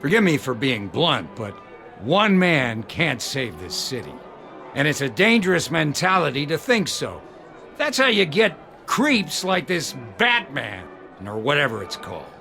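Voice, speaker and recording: Creaky, cranky older rich man. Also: fairly high pitch, huge pitch swings, measured pace, pushed and bright, soft background music, full character voice. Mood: cynical, grumbling. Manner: blunt, grumbling. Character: cranky, cynical old-money rich man. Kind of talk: dramatic video game dialogue.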